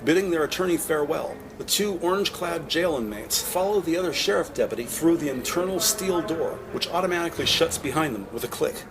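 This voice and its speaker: A man narrates a scripted audio drama in the present tense. His voice sits a little high for a man. There is noticeable background noise around it. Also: animated, a little fast, hardly any pauses.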